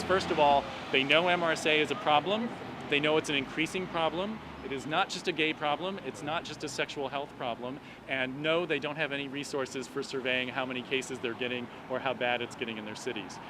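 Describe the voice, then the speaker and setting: A man speaking as a doctor and activist, explaining an issue in measured, articulate phrases during a street interview. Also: medium pitch. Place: outdoors on an urban street at a protest. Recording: noisy city street with traffic. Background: street and traffic noise.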